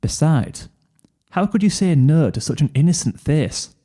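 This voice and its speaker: A man's voice: fairly high pitch, expressive, moderate pace, dark tone. A man narrating a second-person fan fiction story.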